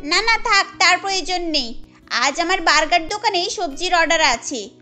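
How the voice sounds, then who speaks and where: A woman's voice: very high-pitched, lively intonation, moderate pace, bright, forceful tone, soft background music, heavily acted. A woman voicing a blunt, unyielding vegetable seller in a cartoon, curt and dismissive. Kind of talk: cartoon story dialogue.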